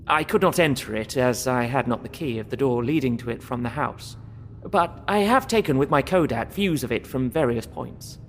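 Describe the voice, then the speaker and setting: An actor playing an earnest, polite young English solicitor, narrating his journal in measured, bookish Victorian phrasing for an audio drama. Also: medium pitch, animated, a little hiss or hum, natural voice. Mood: observant, measured.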